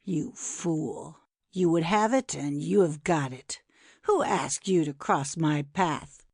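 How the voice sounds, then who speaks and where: A woman plays a cruel, gloating poisoner in a dramatic audiobook. The delivery is slow and taunting, gravelly with fry, and set close in a dry space. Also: low-pitched, darkish tone, dry recording, exaggerated voice.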